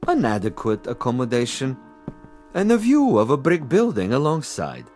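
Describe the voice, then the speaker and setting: A man's voice: medium pitch, huge pitch swings, normal speed, a little mellow, soft background music. A man playing a cultured, menacing commissar and assassin in a drama, speaking with theatrical mock courtesy and relishing his words. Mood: mock-courteous. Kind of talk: dramatic TV-style dialogue acting.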